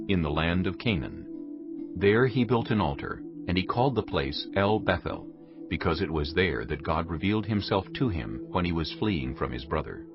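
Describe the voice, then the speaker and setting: A man narrating scripture from a script in a solemn storytelling manner. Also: deep voice. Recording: muffled audio under music. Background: music.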